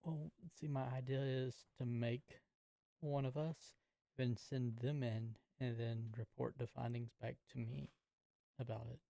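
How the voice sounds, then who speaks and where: A man takes part in a tabletop roleplaying game. His voice is relaxed and mellow, with plenty of fry at a middle pitch. He casually narrates and talks as his character. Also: measured pace, no echo, poor audio.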